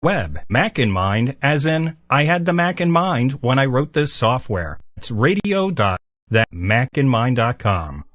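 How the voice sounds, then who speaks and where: A man's voice: medium pitch, sing-song, a little slow, dry recording. A man reading scripted announcements as a radio announcer. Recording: slightly dull, dry radio audio.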